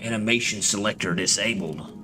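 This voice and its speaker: A man's voice: lowish voice, brisk, rough recording. A man giving casual, profane gameplay commentary, muttering to himself as he plays. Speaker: gamer streaming a playthrough. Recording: loud music behind the voice.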